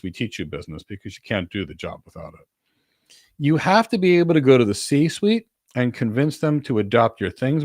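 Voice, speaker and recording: Man, deep-ish voice, dry close sound, career coach answering questions, emphatic, assertive, informal explaining. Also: no echo. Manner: emphatic, assertive, repeats key words for stress.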